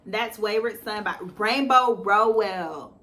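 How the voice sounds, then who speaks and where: A woman's voice: medium pitch, slow, fairly bright tone. A woman co-hosting a casual book chat in a playful, teasing manner. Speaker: co-host of a book channel.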